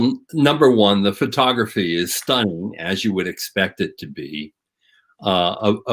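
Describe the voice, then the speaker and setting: A man with a fairly low voice comments as a guest on a talk show. He builds his thoughts phrase by phrase in a measured, appreciative way, keeping it conversational. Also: fairly bright tone.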